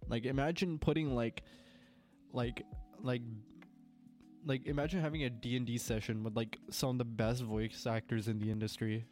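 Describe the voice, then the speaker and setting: A man's voice: medium pitch, steady tone, normal speed, a little mellow, soft background music. Congested young man rambling on a podcast. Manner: rambling, heavy with filler words. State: congested with a cold. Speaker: podcast co-host. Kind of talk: casual chat.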